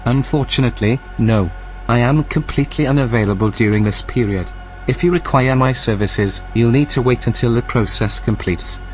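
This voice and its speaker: A male actor voices a computer intelligence in an audio drama. It is composed and formal, with a smug edge and a medium pitch. Its delivery stays measured and matter-of-fact. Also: soft background music, natural voice. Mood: calm, formal.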